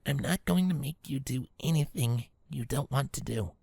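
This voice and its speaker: Man voicing a soft, creaky big-sister pegasus mare. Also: medium pitch, normal speed, dry recording, voice pushed up. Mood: gentle. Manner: soft, gently reassuring. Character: protective, tender adult female pegasus pony, big-sister type.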